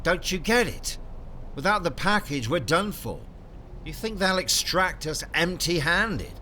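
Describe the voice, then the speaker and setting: A man playing a gruff, bitter, commanding covert operative in an audio drama, giving curt, profane, clipped orders. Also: on the high side, lively intonation, a little slow. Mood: bitter, despairing. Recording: loud vehicle and ambience noise behind.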